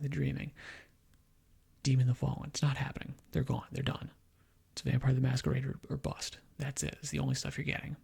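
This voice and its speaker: Male ASMR gaming host, extremely raspy and creaky, half-whispered, rambling opinion delivery. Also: a little high, darkish tone. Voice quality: breathy, husky half-whisper. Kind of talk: ASMR, soft-spoken gaming commentary.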